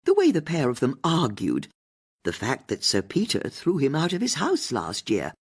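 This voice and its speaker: A woman plays an older English lady detective in an audiobook mystery. She is polite and chatty with a slightly husky edge and very animated pitch, probing shrewdly while sounding casual. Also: normal speed, full character voice.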